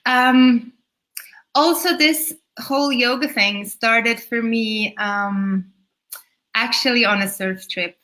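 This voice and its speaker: A woman's voice: a little high, slow pace, bright, forceful tone, low-quality recording. A woman in her thirties from Switzerland, a yoga teacher speaking casually as an interview guest, with Swiss German as her first language. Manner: chatty, laughs between thoughts.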